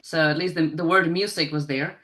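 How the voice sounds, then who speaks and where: A woman's voice: deep voice, steady tone, fairly quick, fairly bright tone. A woman chatting casually as an interview guest, rambling and self-deprecating. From Spain.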